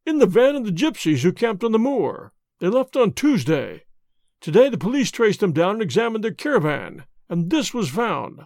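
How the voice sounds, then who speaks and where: A man doing a frantic, high-strung headmaster. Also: normal speed, dry recording, studio quality. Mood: agitated. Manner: excited, breathless relief. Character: agitated middle-aged male headmaster.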